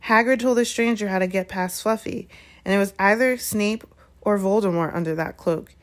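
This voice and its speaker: A woman's voice: medium pitch, moderate pace, lightly acted. A woman voicing an earnest, headstrong young schoolboy who speaks with urgent insistence, in a storybook reading.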